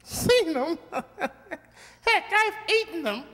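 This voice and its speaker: Squeaky, swooping little talking mouse. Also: male voice, big pitch swings, extremely slow, pushed and bright, full character voice. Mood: indignant, candid. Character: small talking male mouse, naive family man. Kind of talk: radio play acting. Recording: echoey hall with long reverb.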